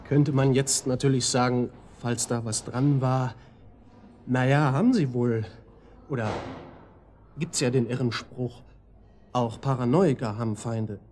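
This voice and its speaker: An actor playing a talkative psychiatric-ward patient informing the police in a German radio drama, rambling and colloquial. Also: male voice, medium pitch, slow, pauses often, a little mellow, a little hiss or hum, lightly acted. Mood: confiding, suspicious.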